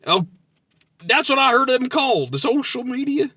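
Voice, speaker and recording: High-pitched, animated man bantering. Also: normal speed, no echo. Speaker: comedy podcast host. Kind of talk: casual comedic banter.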